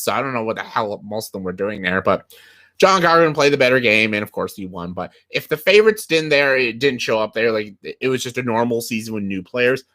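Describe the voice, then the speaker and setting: A young man with a bright, forward voice talks through a casual countdown list. He is slightly hoarse, clears his throat now and then, and rambles with plenty of "like" and "uh" and false starts. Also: medium pitch. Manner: rambling with many fillers and restarts. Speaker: host of a list video.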